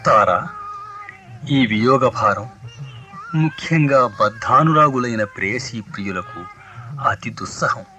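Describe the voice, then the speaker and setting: A man's voice: medium pitch, expressive, unhurried, pauses often, sharp tone, faint music behind. An actor playing a noble, compassionate, sorrowful god-king in a 1980s radio mythological play, stately and earnest in delivery. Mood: solemn consoling.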